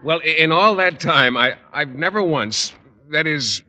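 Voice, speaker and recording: An actor playing a bashful, earnest American biology teacher who talks hesitantly and stammers, in 1950s radio sitcom style. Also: male voice, fairly high pitch, expressive, unhurried, sharp tone, in a large hall, full character voice.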